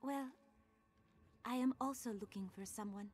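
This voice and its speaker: A woman playing a mysterious, calm enchantress in a fantasy video game, speaking in measured, formal, old-fashioned phrasing. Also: fairly high pitch, background noise, natural voice. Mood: calm, helpful.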